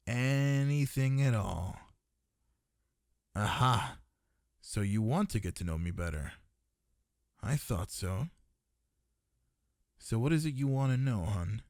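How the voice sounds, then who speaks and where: Low-voiced flirty dragon librarian, acted by a man. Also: darkish tone, no room sound, clean studio sound.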